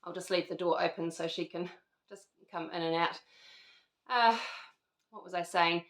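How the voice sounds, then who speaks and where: A woman's voice: on the low side, moderate pace, echoey room. A middle-aged woman with a New Zealand accent, chatting casually to camera at home, rambling with lots of fillers and restarts. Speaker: vlogger. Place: at home indoors.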